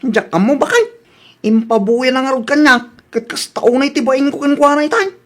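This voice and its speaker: An anxious, loyal husband from a radio comedy as a woman acts him, speaking very high for the part. He is earnest and quick to protest. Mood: worried. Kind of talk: Ilocano radio comedy drama acting.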